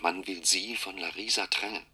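An actor playing a secretive informant in a German radio drama, terse and conspiratorial, with a husky, forceful voice. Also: male voice, low-pitched, steady tone, normal speed, background noise, close to an everyday voice. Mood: urgent, secretive. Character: secretive male informant passing on grave warnings.

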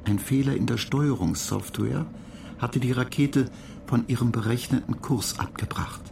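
Here is a polite, slightly touchy computer program, acted by a man, in a radio play. The voice is gravelly and mid-pitched, explaining things earnestly and with measured care. Noisy ambience runs behind it. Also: close to an everyday voice. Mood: matter-of-fact.

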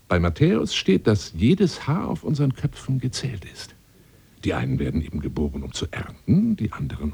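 A man's voice: a little mellow, no room sound, full character voice. An actor playing a lonely, boastful middle-aged bachelor adventurer wooing a woman by phone in a radio drama, with flowery, insinuating talk that drops into near-whispers. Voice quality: deep, gravelly. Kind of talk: radio drama monologue, one side of a phone call.

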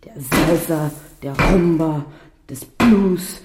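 A man's voice: on the high side, slow pace, heavy background noise. A man reading scripted literary narration as a storyteller.

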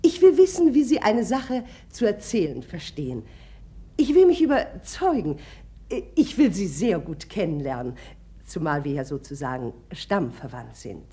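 A woman's voice: lowish voice, big pitch swings, normal speed, dark tone. A woman playing a middle-aged, impulsive, commanding society mother in a radio drama, talking effusively and abruptly. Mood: curious, eager.

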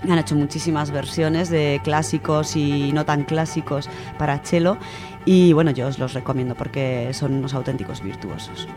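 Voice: mid-range voice, steady tone, normal speed. A radio presenter with an accent from Spain, talking about books in a chatty, enthusiastic way. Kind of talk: conversational radio book talk. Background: cello music.

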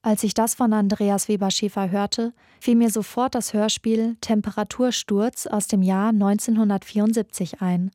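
A woman narrates a scripted podcast as its host. Her voice is mid-pitched and mellow, recorded very dry and close. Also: fairly even intonation, moderate pace, few pauses, dry recording.

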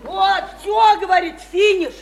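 Very high female voice, radio drama, drunk, brash, coarse, wailing, maudlin, faint noise. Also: fairly even intonation, unhurried, some chatter behind. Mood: drunken sentimental. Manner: coarse, wailing, loud and sentimental. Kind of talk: theatrical Russian radio play acting.

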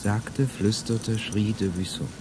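A man's voice: lowish voice, fairly even intonation, takes it slowly, noisy background. A man playing a somber storyteller, delivering literary narration in a radio play. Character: somber male storyteller of a tale of betrayal.

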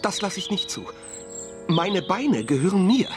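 A man's voice: high-pitched, very animated, a little slow, over music. A man playing an indignant, possessive, agitated character in a radio crime drama.

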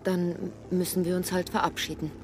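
Actress as a hard, scornful young streetwalker. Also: female voice, fairly low pitch, flat delivery, normal speed, over music, close to an everyday voice. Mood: cool, scornful. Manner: curt, mocking. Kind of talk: radio drama acting.

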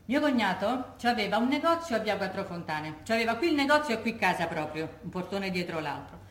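A woman's voice: mid-range voice, big pitch swings, fairly quick, natural voice. An actress playing a working-class Roman widow, telling her wartime testimony in a colloquial, vivid monologue with a Roman accent, acting out remembered dialogue. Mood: anxious recollection. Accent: Roman Italian. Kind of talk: theatrical monologue as oral testimony. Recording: echoey room.

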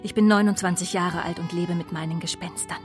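Actress doing a jaded young woman telling her life story, sober and lowish voice, radio play with music. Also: fairly even intonation, a little fast, close to an everyday voice. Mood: bitter, reflective. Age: young adult. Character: disillusioned 29-year-old daughter of a prostitute, coolly confessional. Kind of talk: radio drama first-person narration.

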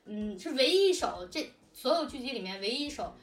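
A woman's voice: on the high side, big pitch swings, fairly quick, echoey room, distant mic. A talkative woman chatting casually about production work, explaining things at length and correcting herself as she goes. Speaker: audio drama production team member and lyricist. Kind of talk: casual behind-the-scenes chat.

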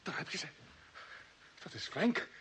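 An actor playing an indignant, forthright space commander in an older Dutch radio play, husky and forceful. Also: male voice, a little slow, a little hiss or hum, close to an everyday voice. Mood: tense, questioning.

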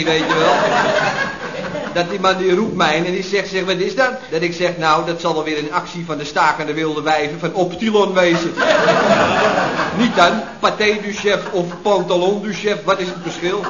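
An actor in a radio comedy playing a chatty, mocking workmate who tells wordy, colloquial anecdotes. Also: male voice, high voice, steady tone, moderate pace, close to an everyday voice. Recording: noisy, echoey, dull old radio recording.

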